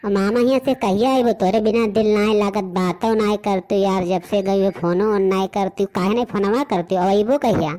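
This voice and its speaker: A woman's voice: medium pitch, fairly even intonation, normal speed, few pauses, dark tone, lightly acted. A woman voicing a lovestruck young village lad in a comic cartoon, with an Awadhi accent, coaxing and pleading with pet names. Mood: longing. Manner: wheedling, full of pet names. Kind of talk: comic rustic cartoon dialogue.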